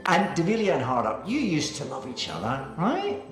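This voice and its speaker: A man's voice: a little high, wildly swooping pitch, normal speed, soft background music. A man playing a wry, coaxing pantomime character over a video call from home. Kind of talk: comic pantomime acting. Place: room at home. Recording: roomy video-call sound.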